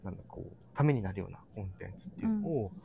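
A man with a somewhat low, creaky voice talks casually and earnestly to his listeners as a show host. He keeps pausing to find his words. There is some background noise on the live stream. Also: sing-song, dull audio. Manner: halting, searching for words.

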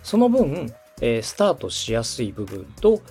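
A man's voice: mid-range voice, wildly swooping pitch, a little slow, mellow, slightly rough. A man in his thirties from Osaka hosting a podcast, explaining things politely and step by step to a co-host. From Osaka, Japan. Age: 30s. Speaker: podcast host and radio director. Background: music.

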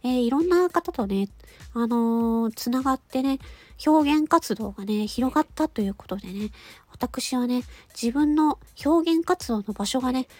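Casting: female amateur voice actor, very high cutesy nasal tone, polite informal channel chat in short halting bits. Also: animated, no room sound. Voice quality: nasal, stuffy-nosed; cute, cartoon-like voice. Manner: polite, giggly, halting phrases ending in a soft filler. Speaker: amateur voice actor hosting a personal audio channel. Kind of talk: casual chat to listeners.

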